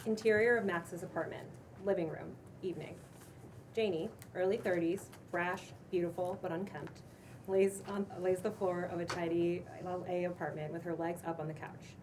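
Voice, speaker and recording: A woman reading screenplay stage directions aloud in plain, measured narration. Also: lowish voice, fairly even intonation, a little mellow. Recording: distant mic, noisy room.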